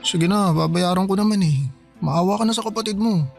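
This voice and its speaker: An actor playing a hard-up, stubborn young brother in a Filipino radio drama, pleading and defensive in casual everyday speech. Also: male voice, sits high, brisk, vocal fry. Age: young adult. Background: music bed.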